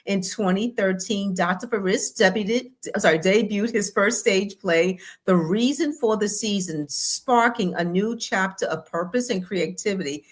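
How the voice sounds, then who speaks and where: A woman's voice: medium pitch, moderate pace, few pauses. An American woman from the East Coast, speaking as a show co-host and giving introductions. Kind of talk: upbeat show introduction and guest introduction.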